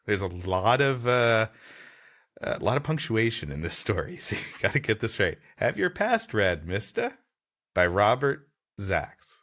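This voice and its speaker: A male comedy podcast host who banters and reads stories aloud. His pitch rises and falls in a lively way, and his delivery is playful and expressive. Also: unhurried.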